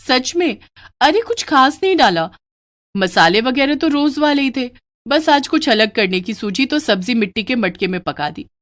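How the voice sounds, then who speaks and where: A woman voicing an outspoken, practical village wife and mother with a brisk, homely manner in a cartoon. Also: fairly high pitch, fairly bright tone.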